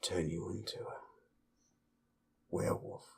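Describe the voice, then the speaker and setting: A man acting a gruff, cocky but protective werewolf in an intimate audio roleplay, with a gravelly, breathy murmur and blunt, coarse, halting speech. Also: low-pitched, fairly even intonation, in a whisper, full character voice. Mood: hushed, serious.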